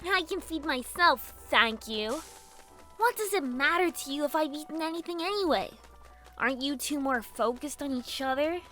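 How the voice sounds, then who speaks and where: Scheming young filly, very high-pitched, animated, grumpy, sarcastic, girlish, cartoon fan drama. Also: normal speed, faint music behind. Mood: sulky, annoyed. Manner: bratty, sarcastic, exasperated. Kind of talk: fan fiction audio drama acting.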